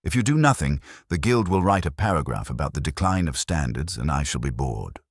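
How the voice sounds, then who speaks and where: A man voicing a prim, shrewd older woman, genteel and dryly amused, with crisp, precise speech in a storybook reading. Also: a bass voice, sing-song, a little fast, dry recording, studio quality, heavily acted. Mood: pleasant, dry.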